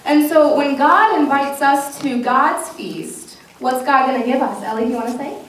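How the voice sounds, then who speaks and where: A woman's voice: sits high, moderate pace. A woman pastor speaking to a congregation in simple, encouraging language, as in a children's message and sermon. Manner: encouraging, simple questions and repeating answers back. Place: indoors, large reverberant church. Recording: very echoey church, distant mic, faint noise.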